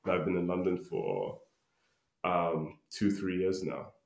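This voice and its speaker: Low-voiced young man, echoey room. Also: sing-song, a little slow, gravelly. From Ghana. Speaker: podcast guest. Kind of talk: casual conversational interview answers. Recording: roomy, echoey voice recording.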